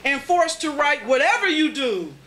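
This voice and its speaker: A woman performing her poetry live at a reading, with deliberate, dramatic phrasing and pauses between short phrases. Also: sits high, expressive, a bit bright. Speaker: poet, featured reader at a poetry reading. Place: indoors at a cafe poetry reading. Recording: roomy live cafe recording, faint background noise.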